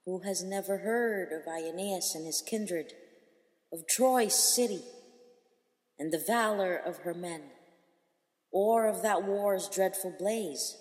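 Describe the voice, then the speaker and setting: A woman's voice: mid-range voice, voice pushed up, lightly acted. A woman voicing a regal, gracious queen who speaks in a stately, reassuring way. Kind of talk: reading an epic aloud. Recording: echoey, long hall reverb.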